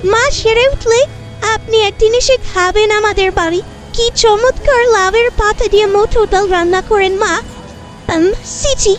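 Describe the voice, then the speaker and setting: A woman voicing a poor, anxious young girl who pleads respectfully and earnestly in an animated horror story. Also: extremely high pitch. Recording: music behind the voice.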